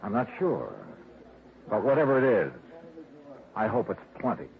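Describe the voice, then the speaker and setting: An actor playing a cool, wry insurance investigator and narrator in a 1950s hardboiled radio drama, terse and dry. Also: male voice, lowish voice, big pitch swings, moderate pace, very noisy. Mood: stern, dry. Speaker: lead detective and narrator. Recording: tinny, narrowband old radio with music.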